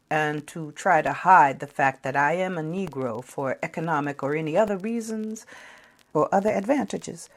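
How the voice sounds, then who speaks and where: A woman plays a proud and defiant actress of the 1940s in a history program. Her voice sits low for a woman, and she makes each declaration emphatically, as a dramatic reading. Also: exaggerated voice.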